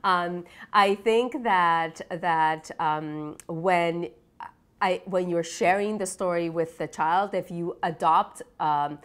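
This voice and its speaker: Lower-pitched woman from Peru, reflective. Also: smooth. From Lima, Peru. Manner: halting, reflective, often seeks agreement with tag questions. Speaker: developmental psychology researcher being interviewed. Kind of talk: explanatory interview answers.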